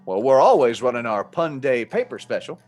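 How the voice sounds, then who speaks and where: Casting: male actor as obliging merchant for comic radio spot, mid-range voice, folksy courteous pitchman, light guitar bed. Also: sing-song, brisk, a little mellow.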